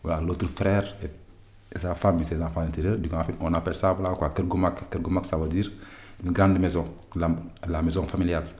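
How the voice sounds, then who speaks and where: Man, Senegalese French accent, low voice, monotone, hesitant, lots of fillers, interview recollection. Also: a little fast. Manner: hesitant, many fillers and restarts. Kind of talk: personal recollection.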